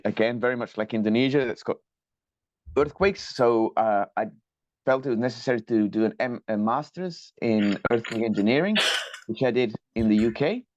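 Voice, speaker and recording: A man presents a technical lecture over a video call. His mid-range voice is measured and courteous, with small academic hesitations. The audio is dry and slightly dull, like a conferencing line. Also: mid-range voice, darkish tone, no room sound. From Colombia. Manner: measured, polite, academic, with fillers. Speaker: guest lecturer, structural engineer.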